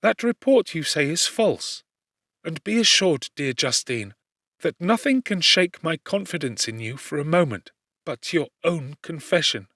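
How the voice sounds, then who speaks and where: A tender, loyal young gentlewoman in a classic audiobook , acted out by a man. The voice sits very high, fervent and distressed, imploring with great earnestness. The recording is very dry. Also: animated, a little slow, no room sound, exaggerated voice. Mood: gentle, earnest. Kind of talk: dramatic audiobook character reading.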